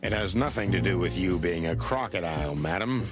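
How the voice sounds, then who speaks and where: A tough rooster detective in a noir game. The voice sits low, weary and sardonic, with dry hard-boiled delivery. Music plays behind it. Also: male voice, animated, fairly quick. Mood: dry, probing. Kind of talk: noir video game acting.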